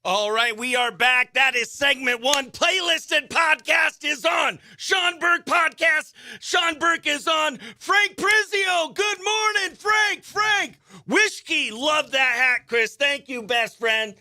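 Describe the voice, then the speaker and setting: Excitable radio host guy, high bright voice, breezy chat and shoutouts. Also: slow, few pauses. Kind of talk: livestream radio show chatter, shout-outs to viewers.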